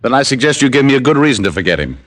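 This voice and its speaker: A man's voice: mid-range voice, very animated, rapid-fire, fairly bright tone. An actor playing a wry, unflappable private detective who narrates his own case in old-time radio style. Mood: wry, probing. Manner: wisecracking, clipped. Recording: old radio drama, music behind.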